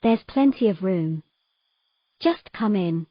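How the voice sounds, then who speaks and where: A woman playing a calm, frank, teasing mermaid in a fantasy audio drama, speaking in a plain, coaxing way. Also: medium pitch, slow pace, no echo, lo-fi sound.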